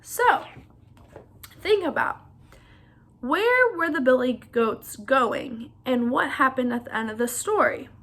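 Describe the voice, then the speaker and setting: A woman's voice: sits high, lively intonation, slow, pauses often, a bit bright. A woman reading a storybook aloud to children in an interactive narrating style.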